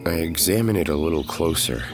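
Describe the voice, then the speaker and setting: A man's voice: extremely low pitch, animated, a little fast. A man giving scripted narration for an audio drama, telling the story in the present tense. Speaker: narrator and lead character. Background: music.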